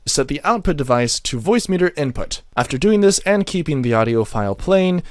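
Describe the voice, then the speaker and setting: Guy doing a step by step tech tutorial voiceover, animated pitch, really dry sound. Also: dry recording. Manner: methodical, step-by-step instructional delivery. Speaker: tutorial narrator. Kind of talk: scripted software tutorial narration.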